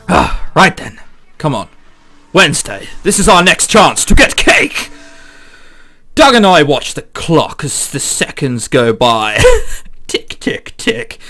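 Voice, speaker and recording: A man commentating on a game and reading its text aloud in a casual, joking and animated way. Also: a little high. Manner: animated, joking, frequent swearing and exclamations. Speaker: let's play host.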